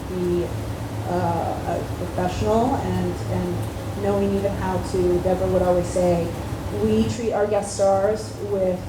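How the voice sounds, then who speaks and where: A woman's voice: fairly low pitch, flat delivery, measured pace. Earnest woman, distant and echoey. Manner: earnest, with bursts of laughter. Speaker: actress on a convention panel. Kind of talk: anecdotal panel talk. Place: convention hall panel. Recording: echoey hall, distant from mic, noisy.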